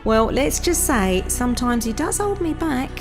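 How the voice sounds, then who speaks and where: A woman's voice: a little high, sing-song, normal speed, soft background music. Woman as a blunt, swooping goddess. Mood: warm, chummy. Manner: blunt, colloquial, exclaiming. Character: blunt, battle-hungry immortal Norse goddess, bossy but warm wife. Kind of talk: comic audio drama dialogue.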